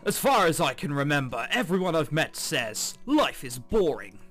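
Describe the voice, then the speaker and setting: A man with a fairly high voice plays a game and reads its text aloud. His delivery is lively and swings widely in pitch. He drops in casual, sarcastic jokes, with game music playing behind him. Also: normal speed. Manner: jokey, sarcastic asides. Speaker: let's player. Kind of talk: casual gaming commentary mixed with reading game text aloud. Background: game background music.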